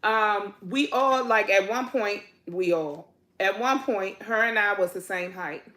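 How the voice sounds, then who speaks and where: A woman with a Washington, D.C. accent talks at a middle pitch in a relaxed couch chat. She tells stories playfully and laughs easily, with loose, casual grammar. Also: a little slow. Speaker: vlog co-host, a twin.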